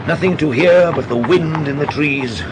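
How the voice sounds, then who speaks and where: An actor with a Welsh accent playing a weary, frustrated country doctor, grave and earnest, in a radio drama. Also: male voice, on the high side, moderate pace, very noisy, lightly acted.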